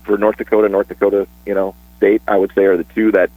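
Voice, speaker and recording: Husky-voiced man talking sports on radio. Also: on the low side, level tone, fast, no room sound, phone-quality sound. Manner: chatty, restarts and fillers, laughs easily. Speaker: sports broadcaster guest. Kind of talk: casual radio sports chat.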